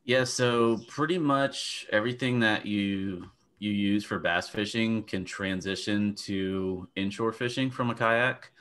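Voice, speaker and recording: A man's voice: fairly low pitch, steady tone, measured pace, fairly bright tone. A young man giving practical fishing advice in a casual panel chat over a video call. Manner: plain, practical explaining. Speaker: fishing coach.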